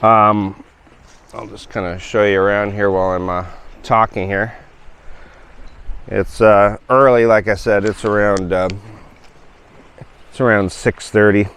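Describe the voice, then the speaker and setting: A man's voice: lowish voice, measured pace, pauses often, smooth. A man of fifty, talking casually and rambling with many fillers as a travel vlogger showing viewers around. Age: 50s. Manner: rambling, relaxed, with frequent fillers and laughs. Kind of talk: casual walk-and-talk vlog.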